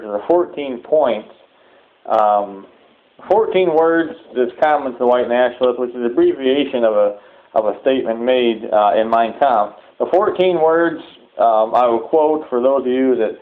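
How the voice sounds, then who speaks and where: A man delivering a sermon-like public address in halting, deliberate phrases, repeating words for emphasis. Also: medium pitch. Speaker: preacher giving a public address. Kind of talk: sermon-like extremist political address. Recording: muffled, thin phone-like audio.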